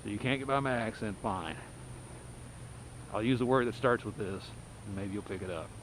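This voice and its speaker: A middle-aged Texas man with a low voice and a light twang rambles bluntly as he walks. He is recorded outdoors on a body-worn mic. Cars and street rumble come through clearly behind him. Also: moderate pace. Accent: Texas, lightly softened. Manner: blunt, wry, talks while walking. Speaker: walking vlogger. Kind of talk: rambling night-walk monologue. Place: residential street at night, outdoors. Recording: street noise and passing cars.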